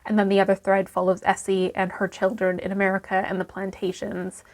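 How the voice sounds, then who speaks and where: A woman with a croaky voice from being ill, chatting casually about books she read as a book vlogger, with many ums and restarts. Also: medium pitch, completely flat delivery, brisk. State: croaky voice from illness. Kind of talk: casual book review chat.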